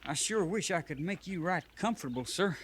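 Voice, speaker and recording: An actor playing an eager, naive young army orderly in an old radio western, with a rural American drawl and a deferential, folksy manner. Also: male voice, high voice, lively intonation, fairly quick. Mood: soothing, earnest. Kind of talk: 1950s radio western acting. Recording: noisy 1950s radio recording.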